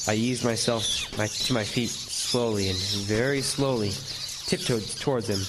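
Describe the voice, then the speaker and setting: A man playing a haunted lighthouse keeper, narrating in old-time radio horror style with halting, suspenseful pauses and repeated words. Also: medium pitch, very noisy, lightly acted. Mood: tense, weary.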